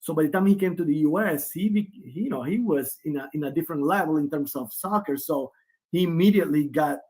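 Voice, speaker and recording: A man's voice: on the high side, a little fast, darkish tone, slightly rough. A man in his forties, a guest on a remote video call who talks at length with many restarts. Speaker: guest, player's father. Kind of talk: casual interview.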